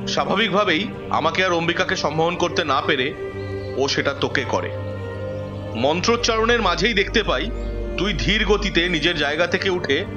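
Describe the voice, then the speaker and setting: Guy playing a confident ghost hunter type telling the tale, highish voice, loud music under it, kinda muffled. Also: expressive, normal speed, pauses often, lightly acted. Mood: explanatory. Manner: explanatory, storytelling. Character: confident male occult expert recounting a battle with a djinn. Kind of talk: Bengali audio drama acting.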